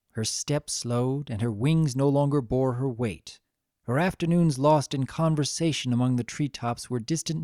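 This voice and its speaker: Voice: medium pitch, warm tone, dry recording, clean studio sound. A narrator giving a dramatic reading of a story in a scripted storytelling style.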